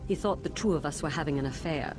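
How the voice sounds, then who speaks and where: An actress playing the mournful, solemn ghost of a wronged wife and former teacher in a fantasy video game. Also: female voice, low voice, fast talker, over music, close to an everyday voice. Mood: solemn, sorrowful.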